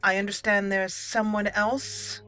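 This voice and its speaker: A woman's voice: mid-range voice, level tone, unhurried, pushed and bright. A woman hosting a roleplaying let's play, speaking in character and commenting as she plays. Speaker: gaming channel host, player. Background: game music.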